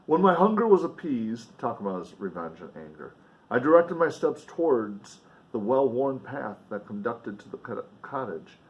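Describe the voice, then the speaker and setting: A man's voice: mid-range voice, sing-song, normal speed, muffled recording. A man reading a novel aloud in a dramatic, emphatic way, with casual offhand commentary along the way. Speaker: reader and commentator.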